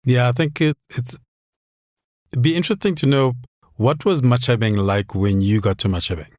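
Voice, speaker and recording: A man's voice: mid-range voice, moderate pace, darkish tone, no echo, clean studio sound. A man in his forties, a podcast host from Southern Africa, asks reflective questions in a thoughtful, hesitant way and often restarts his sentences.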